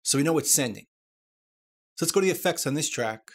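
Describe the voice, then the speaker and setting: A man presenting a software tutorial, explaining things step by step. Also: a little high, expressive, quick pace, slightly rough.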